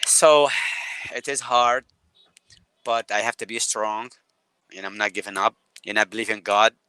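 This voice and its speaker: A man's voice: lowish voice, bright, forceful tone, clear, resonant tone, no room sound, rough recording. Earnest Yemeni man on a video call. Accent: Yemeni Arabic. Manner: halting, repeats words, earnest. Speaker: guest photographer. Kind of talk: live call interview.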